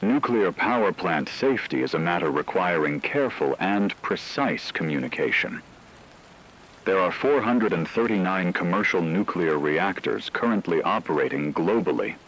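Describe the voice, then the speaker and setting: A man playing a grave emergency radio announcer, reading scripted broadcast narration in a measured, formal, somber way. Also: deep voice, a bit bright, breathy edge, exaggerated voice, rough recording. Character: grave male emergency radio announcer during global blackout.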